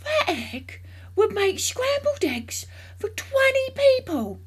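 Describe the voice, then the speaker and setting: A woman voicing a small, excitable father who shouts and exclaims wildly in a storybook reading. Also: extremely high pitch, slow pace, pitched above the performer's own voice, heavily acted. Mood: eager. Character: excitable, easily worked-up small man, a father.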